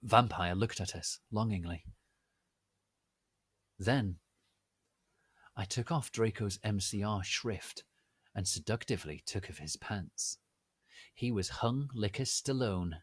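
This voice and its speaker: A low-pitched man reads a story aloud very slowly, in a deadpan, mock-serious way. His delivery is deliberate and theatrical, and the recording is dry and close. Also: breathy edge, no echo. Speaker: reader of a fan fiction story.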